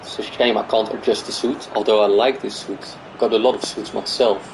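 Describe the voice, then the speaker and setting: A man's voice: lowish voice, steady tone, a little fast, darkish tone, a little hiss or hum, low-quality recording. A man from the Netherlands and Greece, hosting a gaming video and thinking aloud in loose, rambling casual commentary.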